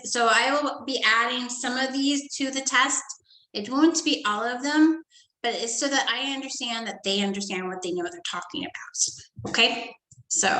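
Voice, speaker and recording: A woman teacher reading a story to young children and going over a study guide, talking gently and checking in with "okay?" often. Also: high-pitched, echoey room, poor audio. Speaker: first grade teacher.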